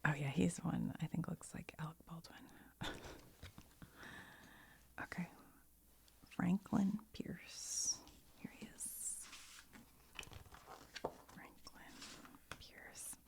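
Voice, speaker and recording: Slow whispering woman, dark gravelly tone. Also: on the low side. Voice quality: soft whisper, often unvoiced. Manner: chatty, giggly asides, reads facts aloud. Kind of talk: whispered ASMR card sorting.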